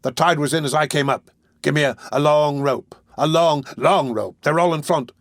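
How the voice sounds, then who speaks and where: A man voicing a brutal, desperate murderer in a storybook reading, speaking roughly and urgently. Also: on the high side, normal speed, dry recording, studio quality, pitched above the performer's own voice, lightly acted. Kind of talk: audiobook character voice.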